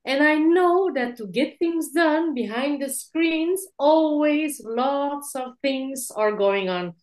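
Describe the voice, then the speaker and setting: Belgian woman in her 40s, english, chatty and warm, asks "yeah?" a lot, video call. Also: high voice, slow pace. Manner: warm, lively storytelling, adds "yeah?". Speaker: guest speaker. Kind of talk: online interview, personal story.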